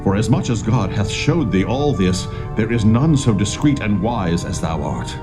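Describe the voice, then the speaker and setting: An actor playing a grave, regal king of ancient Egypt in a dramatised scripture reading, speaking in a stately, deliberate manner. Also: on the low side, lively intonation, music in the background, lightly acted. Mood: regal, approving.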